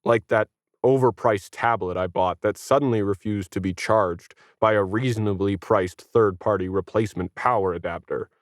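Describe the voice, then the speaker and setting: A man's voice: on the low side, normal speed, dry recording, studio quality, voice pushed down, close to an everyday voice. A man voicing a stubborn, skeptical ordinary man who complains indignantly in a science fiction story. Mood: complaining. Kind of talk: acted sci-fi storybook dialogue.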